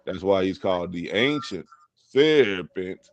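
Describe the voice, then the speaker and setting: A man's voice: low voice, lively intonation, a little slow, highs cut off. A man co-hosting a casual chat in a laid-back way, sometimes reading passages aloud. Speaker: podcast co-host.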